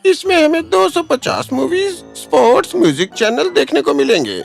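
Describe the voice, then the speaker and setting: Creaky, wildly swooping cartoon farmhand. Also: male voice, extremely high pitch, sing-song, normal speed, faint music behind, heavily acted. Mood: eager. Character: simple, polite male farmhand in a cartoon. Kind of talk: animated cartoon dub.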